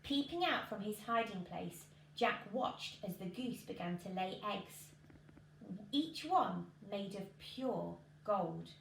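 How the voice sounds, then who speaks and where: A woman's voice: mid-range voice, expressive, slow pace, gravelly. A woman, reading a children's story expressively. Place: living room at home, indoors. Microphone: camera a few feet away. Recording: echoey room with background noise.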